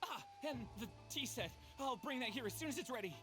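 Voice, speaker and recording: A man's voice: very high voice, animated, brisk, music in the background. A man voicing a nervous, fawning estate servant in a video game, flustered and stammering.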